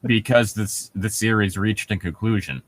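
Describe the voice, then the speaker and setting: A man's voice: lowish voice, normal speed, dry recording. A sarcastic young man joking and reading casually as co-host of a comedy story reading.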